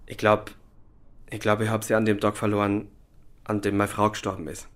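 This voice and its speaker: A man acting an evasive young suspect in a German radio crime drama, deferential, halting and guarded as he answers. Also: lowish voice, monotone, a bit bright, natural voice.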